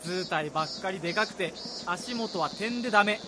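An actor playing a rude, jeering football spectator in a radio drama, coarse and scornful in manner. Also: male voice, sits high, normal speed, noisy background, lightly acted. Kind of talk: radio drama crowd heckling.

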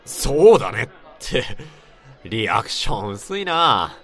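A man acting in a radio drama as an excitable, sentimental old friend, chatting in an emphatic, colloquial way. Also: on the low side, slow pace, some chatter behind, exaggerated voice. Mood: matter-of-fact. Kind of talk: radio drama dialogue, casual banter.

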